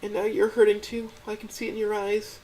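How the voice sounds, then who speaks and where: A man voicing a gentle young female dinosaur who stammers and quivers as she pleads tearfully. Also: high voice, completely flat delivery, fairly quick, pitched above the performer's own voice, poor audio. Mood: tearful pleading.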